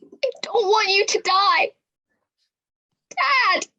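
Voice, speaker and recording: A woman's voice: extremely high pitch, extremely slow, bright, forceful tone, heavily acted. A woman playing a distraught young daughter in a staged play reading, imploring and defiant with raw emotion. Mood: grief-stricken.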